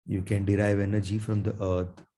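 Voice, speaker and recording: Guy doing guided meditation, super soft dark tone, bit breathy and husky, gentle with big pauses. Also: fairly low pitch, flat delivery. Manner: soothing, gentle, long pauses between phrases. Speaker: meditation guide, Reiki teacher.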